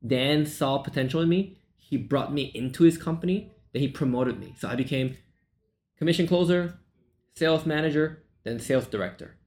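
A man's voice: fairly high pitch, lively intonation, a little mellow. Man from Malaysia telling his story. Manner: storytelling, fast run-on sentences with fillers. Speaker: sales coach guest. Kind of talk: podcast interview.

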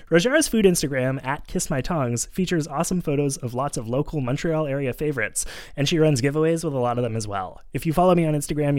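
Brisk upbeat male podcast host. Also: mid-range voice, dry recording, studio quality. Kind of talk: scripted podcast intro then interview. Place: studio.

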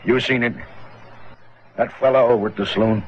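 An actor playing a fearful, broken Old West gunfighter with an American accent and halting, folksy speech, in a 1950s radio western. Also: male voice, mid-range voice, steady tone, lightly acted. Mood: shaken. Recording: muffled old radio recording, faint noise.

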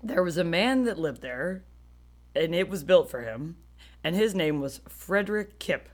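Animated American woman co-hosting a podcast. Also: fairly low pitch, measured pace. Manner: animated, sweary, slangy, self-deprecating. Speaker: podcast co-host. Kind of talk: casual comic storytelling chat.

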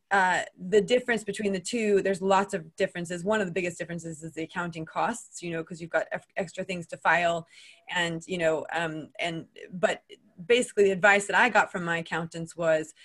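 A woman's voice: lowish voice, fairly bright tone. An American woman hosting a casual podcast conversation, chatty and talking from her own experience with frequent restarts. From United States. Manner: chatty, with many restarts and asides. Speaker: podcast host.

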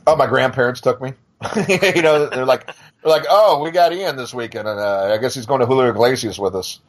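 A man's voice: mid-range voice, brisk. A man in his forties talking casually as a podcast guest, wry and rambling, with some swearing. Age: 40s. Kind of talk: casual chat.

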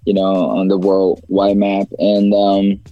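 A man's voice: sits low, completely flat delivery, takes it slowly, no echo, highs cut off. A young man from China, a rapper as interview guest, talking casually in a rambling way with many fillers and restarts.

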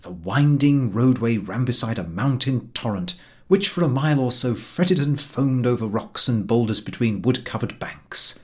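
A man narrating a humorous book as an audiobook reader, in an expressive, measured storytelling manner. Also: medium pitch.

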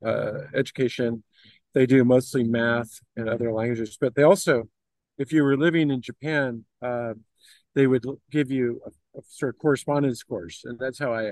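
A middle-aged man speaks as an interview guest in a business conversation. His pitch sits in an ordinary male range and the sound is dry, with little room. He works through his answers in a meandering, hesitant way, padding them with you-knows. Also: darkish tone, no echo. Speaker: interview guest, investment firm executive.

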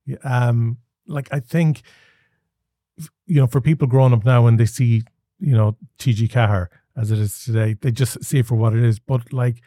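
An Irish man in his forties speaks in a mellow, unhurried register, recorded close and dry. He tells his own story thoughtfully, often restarting mid-phrase and filling pauses. He has the easy, conversational pace of a podcast host. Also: medium pitch, fairly even intonation, dry recording. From Ireland. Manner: thoughtful, with frequent restarts and fillers.

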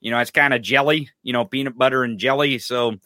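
A man's voice: medium pitch, fairly even intonation, fast, sharp tone, no echo, studio quality. A man hosting a podcast, chatting and joking casually. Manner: joking, upbeat. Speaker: podcast host.

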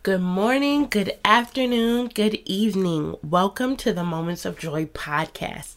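A woman's voice: mid-range voice, unhurried. A woman in her thirties hosting a faith podcast, talking in a chatty, encouraging way while stuffy from a cold. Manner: warm, chatty and encouraging, with soft laughs. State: congested from a cold. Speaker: podcast host. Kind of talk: casual faith podcast monologue.

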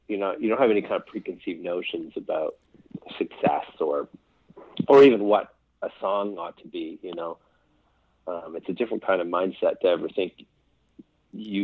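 Deep-voiced guy from quebec, lots of ums and restarts, sounds like a phone call. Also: dry recording. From Quebec, Canada. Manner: rambling and hesitant, many fillers and restarts. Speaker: songwriter guest. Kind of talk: casual interview chat. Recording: tinny phone-like line, dry.

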